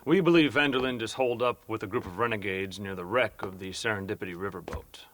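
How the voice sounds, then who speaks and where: An actor playing a polite, controlled government agent, formal and placating, in a game cutscene. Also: male voice, fairly low pitch, fast, fairly bright tone, no room sound, lightly acted. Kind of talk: dramatic game cutscene acting.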